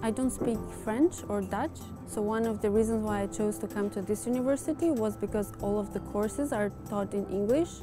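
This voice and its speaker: A woman's voice: medium pitch, moderate pace, few pauses. A young woman from Albania, a master's student, speaking earnestly in an interview with small restarts. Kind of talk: interview testimonial. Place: university campus indoors. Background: background music.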